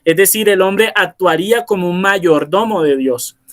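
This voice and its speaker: A man from Barranquilla, Colombia, giving an informal lecture and pausing often with filler sounds. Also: sits high. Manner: hesitant, many filler sounds and restarts. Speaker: philosopher giving a presentation. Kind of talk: informal lecture, explaining and reading texts.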